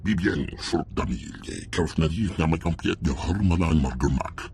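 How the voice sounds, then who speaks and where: A man voicing an excitable alien creature in a video game, babbling in a guttural, gravelly invented tongue. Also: rumbling low, normal speed, slight background noise. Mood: agitated.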